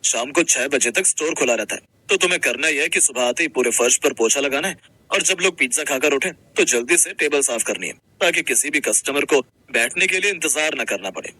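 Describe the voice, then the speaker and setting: Man acting a brisk, bright-voiced young manager. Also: medium pitch, animated, breathy edge, no room sound, low-quality recording. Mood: brisk, friendly. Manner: explanatory, casual, businesslike. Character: friendly, brisk young male restaurant manager. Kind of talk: Hindi moral-story cartoon dub.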